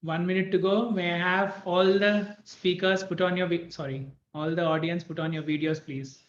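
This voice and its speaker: A man's voice: high voice, level tone, normal speed. A man hosting an event on a video call, giving polite announcements and instructions to participants. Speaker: contest host. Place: online video call from an echoey room. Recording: echoey, dull video-call audio.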